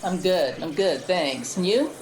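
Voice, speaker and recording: A woman chatting casually in a group as a podcast panelist, in a halting, conversational way. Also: fairly low pitch, lively intonation, darkish tone. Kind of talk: casual group chat about holiday movies. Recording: echoey room, background voices.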